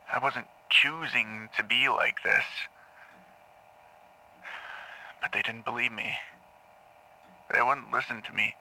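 A haunted therapy patient in an audio drama. His low voice is gravelly and very sharp, as if played back from a cassette tape. He speaks in a halting, deliberate way, recounting childhood torment. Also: slight background noise, full character voice, rough recording. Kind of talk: audio drama monologue on taped therapy session. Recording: old cassette tape playback.

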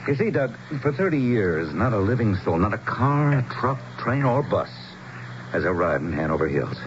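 An actor playing a grave, courteous American small-town mayor in a radio drama, speaking in a measured, dramatic storytelling way. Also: male voice, on the low side, sing-song. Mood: earnest, mysterious. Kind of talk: 1970s radio drama acting. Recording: dull, noisy old radio broadcast.

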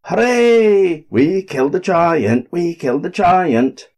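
A man voicing excitable, triumphant villagers in a folk tale, cheering loudly. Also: slow, heavily acted. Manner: high, loud, cheering.

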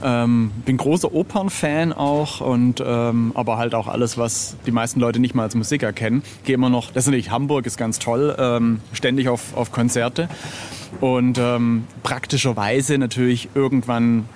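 A man's voice: medium pitch, steady tone, moderate pace, a little mellow, a little hiss or hum. A middle-aged man chatting casually as an interview guest, hesitant and self-ironic, often searching for his words. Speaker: interview guest, illustrator of record covers.

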